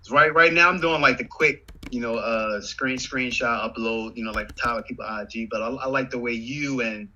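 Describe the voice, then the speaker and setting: Male guest, bright forward voice, relaxed, halting with fillers, muffled recording. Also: mid-range voice, a little hiss or hum. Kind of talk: casual chat.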